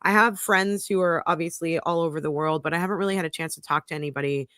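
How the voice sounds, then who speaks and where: American woman, news show host, bright tone, dry close mic, chatty, restarts. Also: sits low, fast, no room sound. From United States. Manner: chatty, warm greetings, many ums and restarts. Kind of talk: live news talk show.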